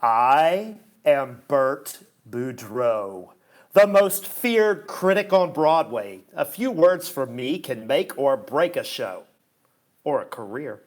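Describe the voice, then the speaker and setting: Here is a haughty, sneering critic for a radio play. He takes his time over every condescending phrase, and his pitch swoops grandly with self-importance. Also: sing-song. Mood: smug. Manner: haughty, condescending, slow and deliberate insults. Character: pompous, sneering, feared male theatre critic.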